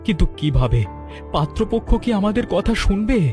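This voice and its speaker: A man's voice: sits high, big pitch swings, moderate pace, music in the background. An actor playing an anxious, lovesick young man in a cartoon, speaking in an emotional, earnest way. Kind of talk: dramatic cartoon voice acting.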